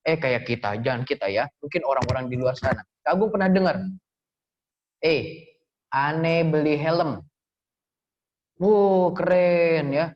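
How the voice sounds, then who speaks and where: A man's voice: sits high, darkish tone. A man telling religious stories to children in a small prayer room, playful, quizzing the kids and laughing often. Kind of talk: lively storytelling lesson for children. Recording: dull lo-fi recording.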